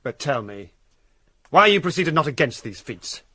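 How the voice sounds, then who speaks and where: A man's voice: on the high side, big pitch swings, normal speed, bright, forceful tone, no room sound, exaggerated voice. An actor playing a proud, grieving young nobleman bent on revenge, earnest and formal, in classical Shakespearean style with a British accent. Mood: challenging.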